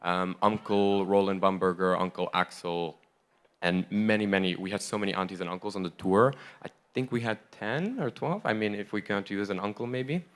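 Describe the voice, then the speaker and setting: Deep-voiced man, chatty and enthusiastic. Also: moderate pace, clear, resonant tone. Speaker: tour member giving a report on stage. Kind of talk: casual on-stage talk to a live audience. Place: large hall at a festival, on stage.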